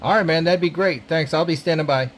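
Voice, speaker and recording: A man with a slightly high voice talks casually through a hobby radio demonstration. He narrates informally as he goes, like a home vlogger. The handheld recording has clear background noise. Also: a little fast.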